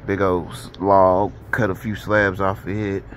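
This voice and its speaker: Slow, deep-voiced man. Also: slight background noise. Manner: relaxed, rambling, informal Southern grammar. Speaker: woodworker giving a shop tour. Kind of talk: casual walkthrough vlog. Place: carport workshop, partly outdoors. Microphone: handheld phone filming as he walks.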